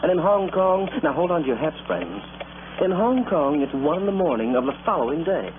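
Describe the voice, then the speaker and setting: A playful, theatrical storyteller opening a vintage radio play. His teasing delivery is very animated in pitch. The audio is tinny, with clear noise. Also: a little fast. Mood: playful, dramatic. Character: excitable, playful storyteller, self-styled practical joker. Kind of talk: dramatic old-time radio narration.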